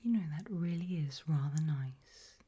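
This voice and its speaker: A British woman performing an ASMR roleplay in a breathy, gentle whisper with deliberate delivery. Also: deep voice, expressive.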